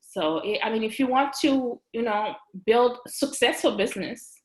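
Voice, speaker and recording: A woman's voice: fairly high pitch, expressive, moderate pace. Chatty Haitian-accented woman, roomy sound. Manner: chatty, laughs often, many fillers and restarts. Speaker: guest, registered nurse and business owner. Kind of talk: casual interview chat. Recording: roomy echo.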